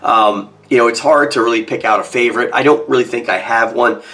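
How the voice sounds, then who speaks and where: North american guy in his 40s, bit hoarse from a cold, chatty opinionated review to camera, mid pitch. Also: a little fast, pushed and bright. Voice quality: slightly hoarse. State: getting over a cold. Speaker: watch reviewer. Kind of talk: casual product review to camera. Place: small home office, indoors.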